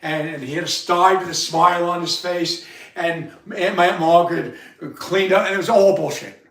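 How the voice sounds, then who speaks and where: A man with a loud voice hosting a livestream, telling a rambling personal story with halting restarts and repeated words. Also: high-pitched, steady tone, vocal fry. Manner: repetitive, halting, many restarts. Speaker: livestream host. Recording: roomy sound, far from the mic.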